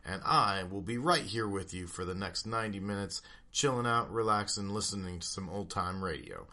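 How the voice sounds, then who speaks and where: A man's voice: deep voice, normal speed, pushed and bright. A man hosting a radio show, giving a casual spoken introduction.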